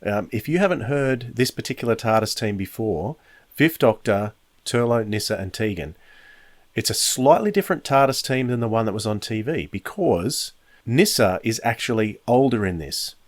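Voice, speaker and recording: Low-voiced man reviewing, dry sound. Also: animated, normal speed, no room sound. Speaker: podcast host and reviewer. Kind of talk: spoken review, conversational commentary.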